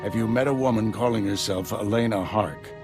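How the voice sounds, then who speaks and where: An actor playing a gruff, battle-worn Jedi master in a video game, with a gravelly voice and a dry, commanding delivery. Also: male voice, lowish voice, brisk, over music, close to an everyday voice. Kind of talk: video game cutscene acting.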